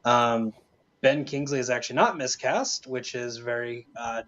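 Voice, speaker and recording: A man's voice: mid-range voice, measured pace, a bit bright, dull audio. A man giving his opinions as a panelist in a casual chat.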